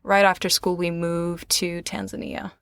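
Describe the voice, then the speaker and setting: A woman's voice: on the low side, level tone, normal speed, a bit bright, clear, resonant tone, no echo, studio quality. A woman who partly grew up in South Africa, a podcast guest chatting casually and telling personal stories. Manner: chatty, quotes dialogue as she tells stories.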